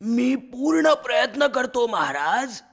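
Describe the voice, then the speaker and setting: A man voicing a cunning fox minister in a children's animal story, raspy and creaky, with a wheedling, flattering manner. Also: very high voice, slow, full character voice. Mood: deferential. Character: cunning sweet-talking fox, minister to a lion.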